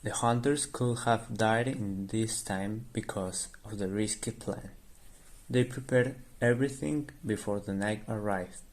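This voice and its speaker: Slow-paced man narrating a radio drama. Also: fairly low pitch, a little mellow.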